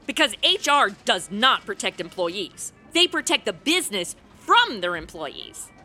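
A woman's voice: mid-range voice, very animated, a little slow, bright, forceful tone, soft background music, exaggerated voice. An actress playing a blunt, sarcastic, cynical modern woman with a protective streak in a comic radio drama. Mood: wry. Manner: sarcastic, wisecracking.

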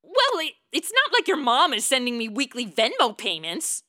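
A woman's voice: sits high, moderate pace, heavily acted. An actress playing a sarcastic, self-absorbed young woman in a comedic radio play, flippant and slangy. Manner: deadpan quips, slangy, flippant.

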